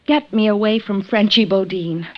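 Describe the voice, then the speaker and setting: A woman's voice: medium pitch, normal speed, natural voice. An actress playing a frightened but determined frontier woman, earnest and pleading, in a 1950s radio western. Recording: dull, band-limited old radio recording.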